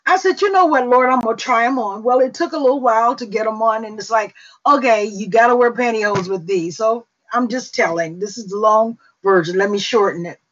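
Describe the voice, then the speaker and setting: Middle-aged Southern woman, Mississippi accent, slow testimony pace, slightly high voice, muffled call audio. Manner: slow, unhurried storytelling with fillers. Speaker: prayer call host.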